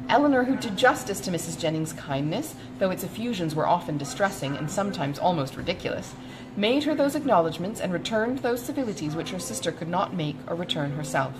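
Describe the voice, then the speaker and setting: Expressive woman narrator with lively intonation. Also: fairly low pitch, background noise. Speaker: audiobook narrator. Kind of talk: scripted literary narration of a classic novel.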